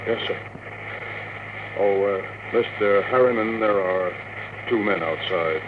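An actor playing a deferential, hesitant assistant to a tycoon in a classic radio drama. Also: male voice, fairly low pitch, slow, phone-quality sound. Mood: flustered, deferential. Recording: thin, noisy old radio broadcast.

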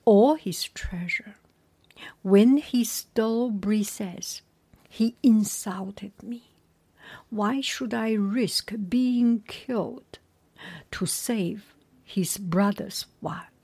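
A proud young Greek hero sulks and refuses, his words whispered slowly by a woman reading aloud. The breathy hush stays close and dry, with no room around it. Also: mid-range voice, no echo, full character voice. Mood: bitter.

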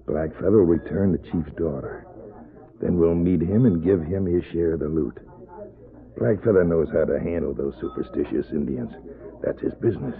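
Western outlaw leader, cold-blooded schemer, folksy and commanding, extremely low voice, vintage radio recording. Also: male voice, normal speed, gravelly, phone-quality sound. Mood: scheming. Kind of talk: old-time radio western acting.

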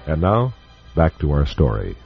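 Low male narrator, ominous measured read, muffled old broadcast. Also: huge pitch swings, creaky. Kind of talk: scripted radio drama narration. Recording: dull old radio recording with music behind.

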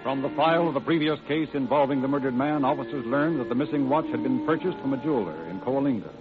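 A man's voice: mid-range voice, fast. A man reading scripted narration for a 1940s-style radio crime drama. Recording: narrow-band old radio broadcast. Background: music.

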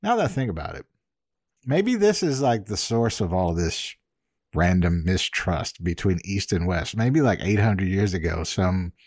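A man co-hosting a podcast in casual chat, joking with animated, exaggerated asides. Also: low voice, a little slow, no room sound, clean studio sound. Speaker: podcast co-host.

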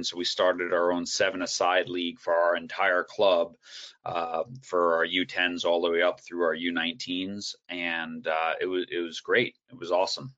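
A man guest on a video call, telling his coaching story in a relaxed, rambling way with many fillers and restarts. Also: low voice. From United States. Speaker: guest speaker, soccer coach educator. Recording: dull, lo-fi call audio.

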